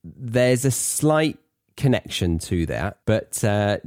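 British male podcast teacher, soft dark tone, dry sound, conversational and thoughtful delivery. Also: on the low side, sing-song, slow, dry recording. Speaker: podcast host and English teacher. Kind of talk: conversational educational podcast talk.

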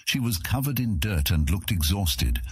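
A man's voice: deep voice, very animated, normal speed, a little mellow, breathy edge, no room sound, clean studio sound. A man narrating a scripted fantasy audiobook in a dramatic storytelling style.

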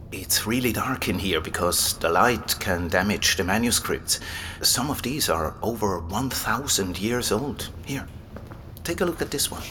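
A scholarly male abbey guide with a fairly low voice, keen to explain, in audio drama dialogue. A low rumble of noise sits behind him. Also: animated, measured pace. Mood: friendly guiding. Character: Elias, erudite, enthusiastic male abbey librarian and guide. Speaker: library guide and manuscript expert.

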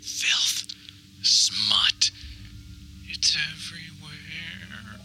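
Man playing fanatical preaching mother, piercingly sharp, slow, ominous, whispers and shrieks, audio drama, music behind. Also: fairly high pitch, voice pushed up, exaggerated voice, low-quality recording. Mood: whispering, fanatical. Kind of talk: dramatic audio diary monologue.

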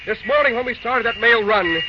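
On a muffled, noisy old radio recording, a man acts a high-pitched railroad boss. He is steady and shrewd, speaking plainly with firm confidence. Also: brisk. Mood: firm, triumphant. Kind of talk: old-time radio drama acting.

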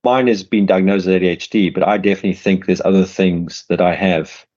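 A man's voice: sits low, steady tone, a little fast, a little mellow, dull audio. A man with a South African accent hosting an interview, rambling in casual chat with many restarts and repeated words. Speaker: podcast host.

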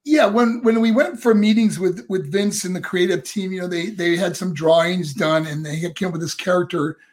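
A middle-aged man with a raspy voice, a guest and former wrestler rambling through stories with lots of fillers. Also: high-pitched, moderate pace. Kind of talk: casual interview storytelling.

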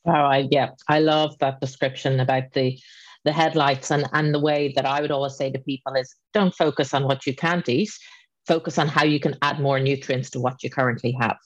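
A woman's voice: very deep voice, fairly even intonation, fairly quick, no echo. A woman hosting a podcast, asking questions in a friendly, conversational way. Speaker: podcast host. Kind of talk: casual interview chat. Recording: dry, slightly dull sound.

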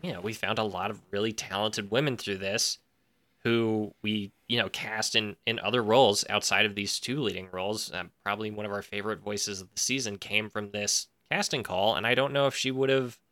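Bright, forceful, low-pitched man. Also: steady tone, fairly quick. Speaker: podcast guest, audio drama writer. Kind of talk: casual interview chat, anecdotal.

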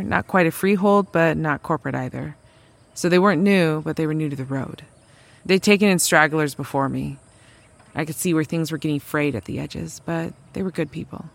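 A woman's voice: deep voice, a little fast, gravelly. A woman playing a resourceful drifter mechanic, telling of travels in a naturalistic audio drama, conversational and wry. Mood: reflective, wry.

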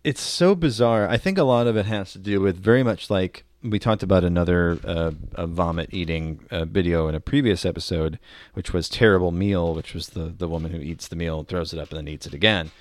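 A man's voice: low voice, fairly quick, no room sound. A man co-hosting a casual comedy podcast, explaining things in a wry way with frequent asides. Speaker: podcast co-host.

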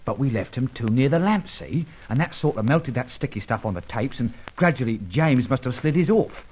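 A deferential old-lag crook and loyal sidekick, played by a man. His mid-pitched voice is animated and colloquial in working-class radio drama fashion, and the old recording sounds muffled. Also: moderate pace, close to an everyday voice. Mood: sheepish, explaining.

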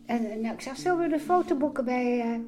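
A woman's voice: sits high, quick pace. An older woman recalling things casually, hesitating as she searches for words. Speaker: host's mother, interviewee. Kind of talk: casual personal recollection.

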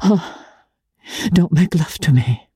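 Woman voicing a worldly, wounded aristocratic lady, slow and measured. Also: lowish voice, mellow, slightly rough, dry recording, studio quality, voice pushed up, exaggerated voice. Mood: hurt, indignant. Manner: measured, dramatic, with halting pauses. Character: worldly, wounded aristocratic woman, low-voiced then passionately indignant. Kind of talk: dramatic literary audiobook character reading.

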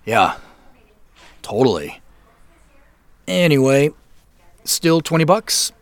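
A man's voice: fairly high pitch, sing-song, takes it slowly, dry recording, exaggerated voice. An actor playing a wry, guarded man in an audio drama, talking in a dry, laid-back, natural way. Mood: polite, casual. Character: wry, guarded man who suspects he is being spied on.

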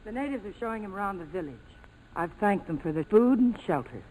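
An actress playing a haughty, resourceful young woman with a brisk, defiant manner in a 1940s radio drama. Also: mid-range voice, lively intonation, darkish tone, lightly acted, low-quality recording.

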